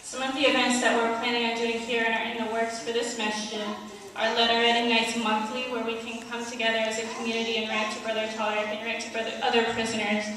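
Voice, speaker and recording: A woman's voice: fairly high pitch, monotone, fast, fairly bright tone. A woman giving an earnest, emphatic prepared speech as a committee member, appealing to her community to get involved. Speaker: activist committee member giving closing remarks. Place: large indoor hall at an Islamic center. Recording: echoey hall, distant mic, faint noise.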